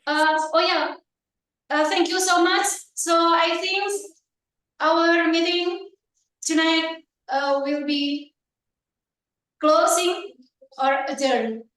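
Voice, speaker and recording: Host, female, high and chatty, distant echoey room sound. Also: fairly even intonation, takes it slowly, pauses often, a bit bright. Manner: thanks guests by name, loose and chatty. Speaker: meeting host. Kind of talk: closing remarks at a club meeting. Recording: echoey room, far from the mic.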